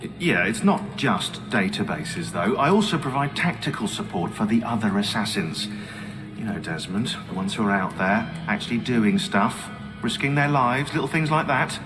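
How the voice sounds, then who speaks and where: An actor playing a condescending, busy archivist in a video game, wordy, pompous and sarcastic. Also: male voice, lowish voice, animated, normal speed, over music, natural voice. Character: snide, condescending, busy male archivist of secret order.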